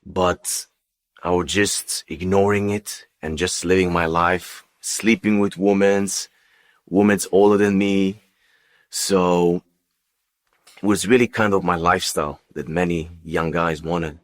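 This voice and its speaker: A man who speaks as a tells his personal story as an interview guest, in a halting, unscripted way with repeated words and phrases. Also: low voice, steady tone. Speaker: interview guest giving testimony.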